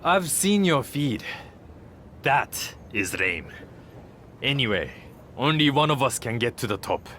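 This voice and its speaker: A man's voice: medium pitch, very animated, takes it slowly, pauses often, pushed and bright, a little hiss or hum, heavily acted. An actor playing a vain, cocky young male wrestler obsessed with social media, smug and dismissive, in a video game cutscene. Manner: smug, dismissive, self-absorbed.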